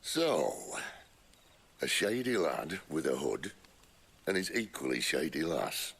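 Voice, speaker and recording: Gravelly, very deep, wry outlaw leader. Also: male voice, sing-song, slow pace, fairly bright tone, exaggerated voice. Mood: wry, pondering. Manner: wry, dry, colloquial. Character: gruff, wry, world-weary middle-aged male outlaw leader. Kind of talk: video game cutscene acting.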